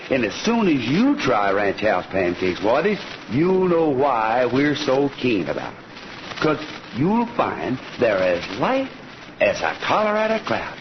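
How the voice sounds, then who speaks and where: Folksy, animated radio cowboy hero. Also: male voice, fairly high pitch, noisy background. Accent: American Western drawl. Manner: folksy, hearty. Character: folksy cowboy hero, blustery and scared of women. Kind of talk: old-time radio western acting and narration. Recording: muffled old radio recording with music.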